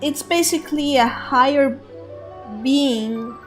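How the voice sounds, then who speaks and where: Slow, musing woman game streamer. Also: sits high, soft background music. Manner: rambling and thoughtful, many fillers and restarts. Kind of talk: casual livestream commentary.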